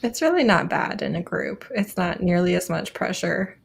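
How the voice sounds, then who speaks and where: A woman's voice: lowish voice, big pitch swings, moderate pace, creaky, a little hiss or hum. A woman chatting casually on a live group video call, slipping into acted-out quotes as she talks. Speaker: booktuber on a live readalong panel. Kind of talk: casual chat. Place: live group video stream, webcam or phone.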